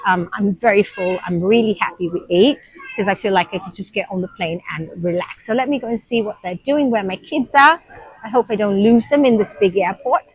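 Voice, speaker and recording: A woman's voice: medium pitch, a little fast, breathy edge, no room sound, poor audio. A woman in her thirties from Sri Lanka, a nursery teacher, vlogging casually from an airport and explaining things with frequent ums. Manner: chatty, explanatory, frequent um fillers. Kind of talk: casual vlog narration to camera. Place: busy airport shops and seating area.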